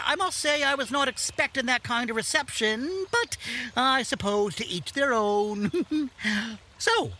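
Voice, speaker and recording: A woman's voice: sits high, sharp tone, slight background noise, heavily acted. A woman playing a whimsical, mischievous yet kindly elf in an audio drama, giggly and theatrical, with playful rhymes and grand old-fashioned phrasing.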